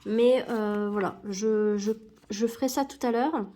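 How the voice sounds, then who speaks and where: A woman's voice: medium pitch, measured pace, warm tone. A young woman with a cold, chatting casually and correcting herself with many fillers as she sticks stickers into an album. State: has a cold. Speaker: YouTuber opening sticker packs.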